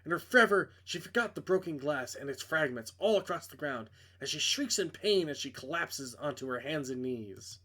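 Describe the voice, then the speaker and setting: Male narrator, fairly high voice, dramatic reading of visual novel prose. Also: lively intonation, moderate pace, pushed and bright.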